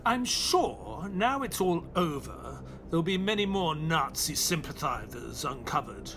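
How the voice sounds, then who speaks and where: A man's voice: a little high, very animated, measured pace, background noise. An actor playing an earnest, weary male companion to a detective, questioning and reflective, in an audio play. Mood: thoughtful. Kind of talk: audio play acting and narration.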